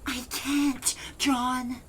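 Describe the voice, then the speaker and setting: A woman voices a wisecracking but nervous trainee man for an audio drama. The pace is very slow, the manner wry and hesitant, the background faintly noisy. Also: high voice, flat delivery. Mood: anxious. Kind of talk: naturalistic audio drama acting.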